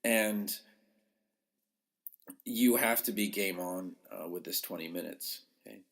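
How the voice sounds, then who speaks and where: A man with a slightly low voice speaks like a podcast host coaching his listeners. His delivery is conversational and informal, leaning on key words for emphasis as he gives practical advice. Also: slow pace, gravelly, fairly bright tone. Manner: conversational, emphatic, acts out short quotes.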